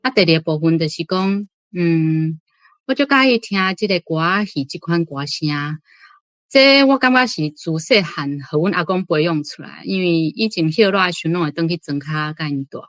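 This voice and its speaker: A woman with a fairly low voice and a Taiwanese accent shares informal reflections as a guest commentator. The sound is dry and close, with soft music behind. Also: animated, moderate pace, no echo. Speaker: reading club host, guest commentator.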